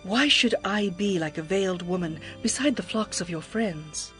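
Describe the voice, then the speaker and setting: An actress playing a young, lovestruck bride, yearning and adoring, in a tender, poetic dramatized scripture reading. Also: female voice, mid-range voice, moderate pace, faint music behind, natural voice. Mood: earnest, pleading. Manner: expressive, poetic, tender delivery.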